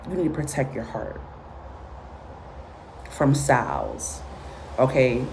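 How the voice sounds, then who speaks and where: A low-pitched woman, raised in Guatemala and Honduras with Spanish as her first language, talks through a spiritual reading in a blunt, cursing, repetitive way. A faint hiss sits under her voice. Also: wildly swooping pitch, slow pace, creaky, a little mellow. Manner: blunt, profane, emphatic and repetitive. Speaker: tarot and spiritual reader. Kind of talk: casual spoken reading with commentary.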